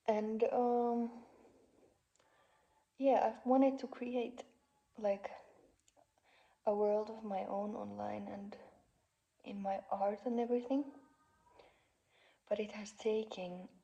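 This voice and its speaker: A woman in her twenties with a Finnish accent, talking in a soft, halting, unscripted vlog monologue from a couch at home. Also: medium pitch, steady tone, dull audio. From Finland. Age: 20s. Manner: soft-spoken, halting, frequent fillers. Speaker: vlogger. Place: parents' living room couch.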